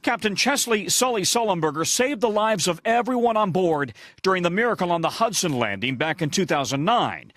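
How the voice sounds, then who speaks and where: A man's voice: high voice, lively intonation, a little fast, fairly bright tone, no echo. An American man working as a news correspondent, giving an emphatic, dramatic broadcast report.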